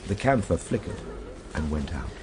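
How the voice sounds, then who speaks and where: A man's voice: low voice, very animated, normal speed, over loud music. A man playing a time traveler recounting his ordeal in solemn, literary radio drama narration. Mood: grave, tense. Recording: radio drama over loud background ambience.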